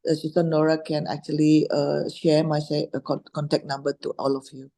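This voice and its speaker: A woman's voice: low voice, level tone, fairly quick, warm tone. A middle-aged woman with an Indonesian accent telling personal stories as a guest speaker, hesitating and rephrasing as she goes. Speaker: guest speaker, Islamic scholar. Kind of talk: personal storytelling in an online talk.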